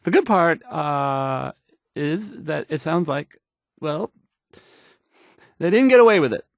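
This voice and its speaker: A man's voice: a little high, expressive, slow, no room sound, clean studio sound. An actor playing a nervous, awkward young male radio DJ in a game, stammering and hesitating through the news, rambling and unsure of himself. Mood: flustered, uneasy. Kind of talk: fumbled radio news report in a video game.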